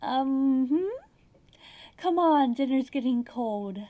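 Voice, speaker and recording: A woman playing a falsely devoted, quietly vengeful wife in a comedic audio drama, sugary and innocent in manner. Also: high voice, expressive, takes it slowly, phone-quality sound. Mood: feigned innocence.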